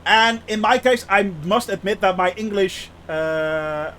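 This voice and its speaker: A man with a thick Dutch accent chats with his live-stream viewers in a high, light voice. He talks in a halting way, restarting often and laughing easily. A faint hum sits under the recording. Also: fairly bright tone. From Netherlands. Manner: chatty, hesitant, many restarts, laughs often. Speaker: flight sim streamer. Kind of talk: casual live-stream chat.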